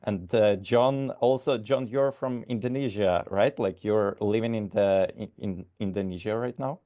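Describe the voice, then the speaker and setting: A man's voice: fairly low pitch, moderate pace, lo-fi sound. A man from Ukraine moderating a community video call, greeting people hesitantly with many fillers and restarts.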